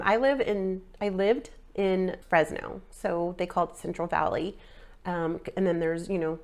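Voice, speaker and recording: A woman's voice: fairly low pitch, vocal fry, darkish tone. A woman in her forties, sounding a little nasal after a sinus infection, casually rambling through a personal fitness update with lots of ums and likes. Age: 40s. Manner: rambling, filler-heavy, frequent ums and likes. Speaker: fitness vlogger.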